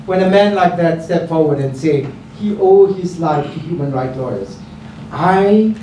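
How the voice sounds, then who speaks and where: A woman's voice: on the low side, expressive, measured pace, mellow. A woman moderating an event, addressing the audience informally and emphatically, repeating phrases for effect. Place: indoor book launch event room. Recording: very echoey room, distant mic, crowd noise.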